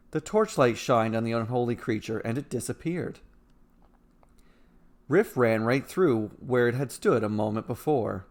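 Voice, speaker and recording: Guy reading a fantasy story, medium pitch, measured and kinda dramatic storyteller delivery. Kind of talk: scripted fantasy story narration.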